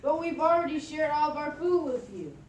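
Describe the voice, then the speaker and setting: Small-town mayor for a puppet play woman acting: fussy, wary of outsiders, high voice, far from the mic in a roomy space. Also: lively intonation, brisk, darkish tone. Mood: sheepish. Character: fussy village mayor, suspicious of strangers. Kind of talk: puppet show read from a script. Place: echoey indoor meeting room at a library. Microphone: far camera microphone. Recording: distant mic, echoey room, faint noise.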